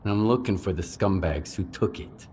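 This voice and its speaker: An actor playing a plainspoken, wary drifter in a video game, terse and matter-of-fact. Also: male voice, deep voice, normal speed, dark tone, slight background noise, close to an everyday voice. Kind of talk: video game cutscene acting.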